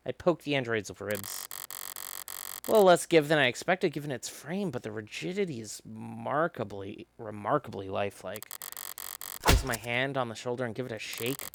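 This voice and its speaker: A streamer voicing a wry, practical investigator in a visual novel, dry, measured and understated. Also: mid-range voice, expressive, gravelly, slight background noise, pitched below the performer's own voice, low-quality recording. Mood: wry, observant.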